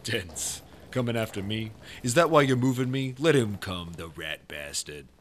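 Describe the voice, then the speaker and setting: A man plays a brash mobster in a noir radio drama. He is cocky and defiant, swinging in pitch as he talks tough, over faint noise. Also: lively intonation, normal speed, close to an everyday voice. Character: brash, defiant male mob boss.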